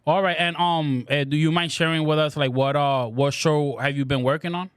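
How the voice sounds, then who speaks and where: A man's voice: fairly high pitch, steady tone, normal speed, clear, resonant tone, dry recording. A man co-hosting a podcast in a small studio, talking easygoingly with many fillers and restarts. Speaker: podcast co-host. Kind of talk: casual podcast chat. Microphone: studio mic with headphones.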